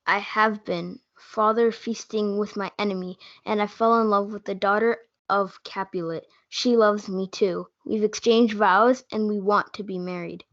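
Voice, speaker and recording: A woman's voice: mid-range voice, steady tone, measured pace, hardly any pauses, fairly bright tone. A young woman reading an earnest, lovestruck young man in amateur reader's theatre. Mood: earnest, eager. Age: teenager. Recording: dull lo-fi audio.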